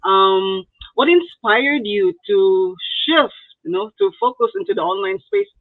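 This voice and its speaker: A woman's voice: medium pitch, sing-song, unhurried. A woman hosting a casual live-stream interview in a chatty, informal, rambling way over an online call. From outside Canada, immigrated. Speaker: interview host. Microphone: online video call. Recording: roomy, tinny phone-like online call.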